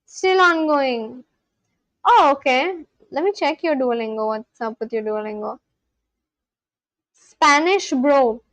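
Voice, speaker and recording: A woman's voice: high-pitched, slow, long pauses, fairly bright tone, dry recording. A woman livestreamer chatting casually and practising language phrases aloud, in a chatty way. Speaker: livestream host learning Spanish.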